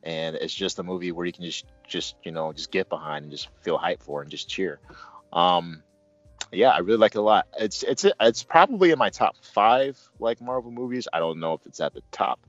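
A man's voice: low voice, animated, fairly quick, dry recording, muffled recording, faint music behind. A man hosting a casual movie review, talking in a joking, rambling way.

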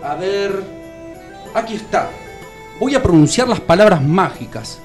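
A man's voice: high-pitched, lively intonation, measured pace, soft background music. A man playing a fussy, flippant fairy godfather in comic radio theatre, theatrical and brusque, with an Argentine accent. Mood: kindly, fussy.

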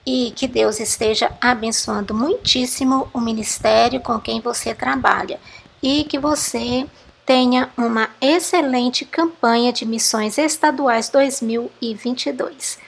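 Female podcast host, Brazilian accent, fairly high voice with husky texture, reading prepared remarks. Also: steady tone, measured pace. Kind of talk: scripted podcast sign-off.

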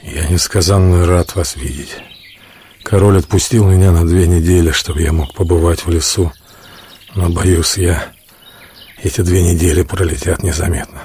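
An actor playing a noble, warm-hearted outlaw leader in a radio play, with a hearty, measured delivery. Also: male voice, low voice, steady tone, a little hiss or hum, lightly acted. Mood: weary, glad.

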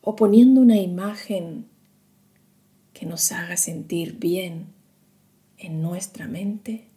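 A woman guiding a meditation in a soothing, hushed manner, leaving long pauses between short phrases. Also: lowish voice. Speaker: meditation guide. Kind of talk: slow guided meditation narration.

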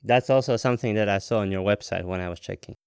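A man's voice: on the low side, quick pace, vocal fry, darkish tone, clean tone, no echo, clean studio sound. A man, interviewing founders in a friendly, chatty way with jokey asides. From Latvia. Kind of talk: casual interview chat.

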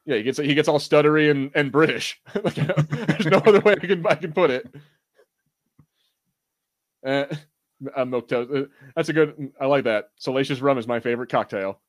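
A man's voice: a little high, moderate pace, dry recording, clean studio sound. A man co-hosting a podcast in casual, slangy chat, joking and rambling with some swearing. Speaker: podcast co-host. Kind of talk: casual chat reviewing a TV show and reading live chat.

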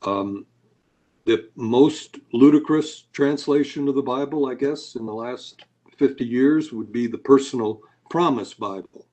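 A man's voice: medium pitch, a little slow, dark tone. A man answering interview questions as a scholar, lecturing with many hesitations and uhs. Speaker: Bible scholar guest.

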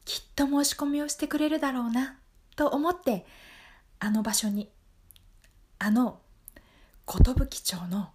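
A woman playing a calm, all-knowing fortune-teller in an improvised radio drama, speaking in a hushed, measured, polite and reassuring way. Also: fairly high pitch, lightly acted.

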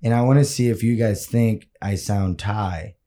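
A man's voice: lowish voice, moderate pace, mellow. A man co-hosting a podcast, chatting casually and rambling through jokes, swearing freely and slipping in Spanish phrases. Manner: rambling, joking, laughs often, drops in Spanish phrases. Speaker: podcast co-host. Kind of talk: casual chat.

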